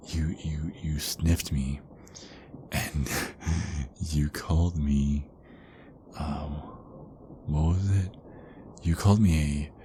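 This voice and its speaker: A man playing a big, affectionate werewolf boyfriend in an intimate ASMR roleplay, murmuring hesitantly and often slipping into breathy whispers. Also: extremely low pitch. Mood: amused, reminiscing. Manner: hesitant, rambling, murmured pillow talk. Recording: clearly noisy background ambience.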